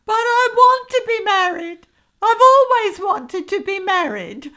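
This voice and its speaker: A naive woman desperate to be married, in a comic radio soap. Her voice is extremely high and she pleads slowly, with childlike melodrama. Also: very animated, sharp tone, heavily acted. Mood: wistful, coy. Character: tearful, naive, lovelorn woman longing for marriage.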